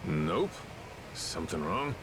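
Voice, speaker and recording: A man's voice: deep voice, big pitch swings, slow, background noise, full character voice. A man playing a cocky, menacing middle-aged pirate captain with a swaggering, slangy delivery in a video game cutscene. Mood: serious, troubled. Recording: game music behind the voice.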